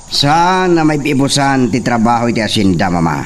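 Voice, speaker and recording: A man's voice: medium pitch, big pitch swings, moderate pace, a little hiss or hum, natural voice. A man acting a haughty young hacienda master in an Ilocano drama, with an Ilocano accent. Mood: questioning, authoritative.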